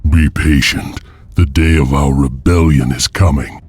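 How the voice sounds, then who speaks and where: Vengeful warrior heir, very low voice, slow, solemn, deliberate, fantasy game narration. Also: male voice, fairly even intonation, a little mellow, breathy edge, soft background music, heavily acted. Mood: calm, guarded. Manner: grave, deliberate, storyteller-like. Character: solemn, vengeful male heir of an enslaved wolf clan. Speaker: story narrator and lead character. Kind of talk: dramatic scripted game narration and dialogue.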